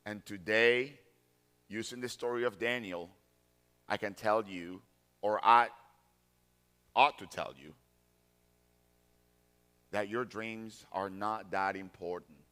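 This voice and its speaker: Pastor, male, 40s; bright, forceful tone, emphatic repetition. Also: fairly low pitch, clear, resonant tone. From Colombia. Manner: deliberate, emphatic repetition of key phrases. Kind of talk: sermon.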